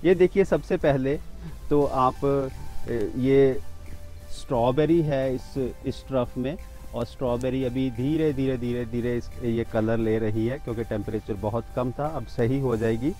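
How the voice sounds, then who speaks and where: Middle-aged man, mid pitch, outdoor garden tour, steady explaining, faint music underneath. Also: unhurried, poor audio. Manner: pointing things out plainly and steadily, with small restarts. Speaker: agricultural expert giving a garden tour. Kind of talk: walking demonstration, explaining to camera.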